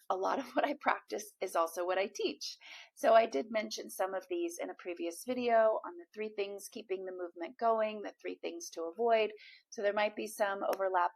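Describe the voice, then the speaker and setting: A woman with a slightly hoarse, froggy voice, giving an encouraging direct-to-camera coaching talk as a manifestation coach, speaking straight to the viewer. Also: mid-range voice.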